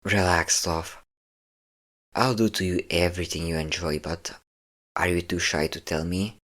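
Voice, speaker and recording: A man's voice: deep voice, steady tone, unhurried, fairly bright tone. A man playing a possessive, domineering vampire lover, teasing and commanding the listener in an intimate audio roleplay. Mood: teasing, smug. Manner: teasing, commanding, breathy whispers at times.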